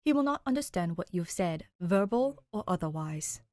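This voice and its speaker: Woman as a posh, deadpan English butler. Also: on the low side, moderate pace, no echo, clean studio sound, natural voice. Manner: deadpan, calm, formal. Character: posh, long-suffering, unflappable English butler. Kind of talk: audiobook character voice, fan fiction reading.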